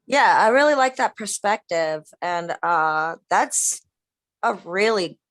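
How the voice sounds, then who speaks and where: A woman in an online drawing group, chatting eagerly and halting often as she thinks aloud. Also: medium pitch, animated, no room sound. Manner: enthusiastic, halting, many fillers and restarts. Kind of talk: casual chat on a video call.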